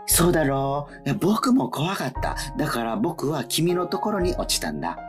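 A woman in a whimsical radio drama playing a dying withered leaf, a gently philosophical male character speaking in a theatrical, poetic manner. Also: deep voice, big pitch swings, moderate pace, over music. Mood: wistful, resigned.

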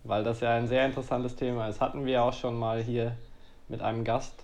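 A man's voice: medium pitch, little pitch movement, a little fast, a little mellow. A man chatting casually as co-host of a sports podcast. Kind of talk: casual chat on a badminton podcast.

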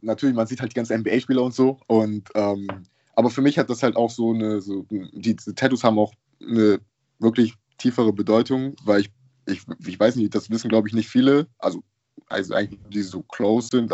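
Young male guest, lowish voice, loose slangy delivery; dry, dull recording. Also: steady tone, brisk, dark tone, dry recording. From Germany. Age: young adult. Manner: slangy, laid-back, laughs often. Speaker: interview guest, basketball player. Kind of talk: casual chat.

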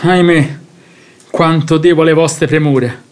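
Duped master of the house played by a man, high-pitched, indignant, theatrical, classic radio play. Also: fairly even intonation, a little slow, natural voice. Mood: dismayed. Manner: exasperated, theatrical. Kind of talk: radio drama acting.

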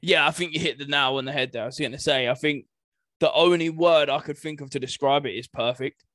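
A man's voice: fairly high pitch, a little fast, a bit bright, no room sound. A man chatting casually as a podcast co-host. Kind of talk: casual chat.

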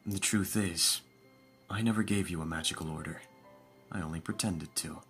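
A man voicing an aristocratic young vampire master, commanding yet doting, formal and measured, in an audio roleplay. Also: low voice, fairly bright tone. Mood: pleased, confiding. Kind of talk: ASMR audio roleplay acting. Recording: music behind the voice. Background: background music.

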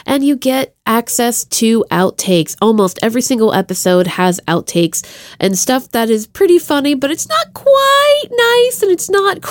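A woman's voice: on the high side, darkish tone, dry recording, studio quality. A woman hosting a podcast in a chatty, effusive and playful way, addressing listeners directly. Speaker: podcast host. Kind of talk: chatty podcast introduction and plugs.

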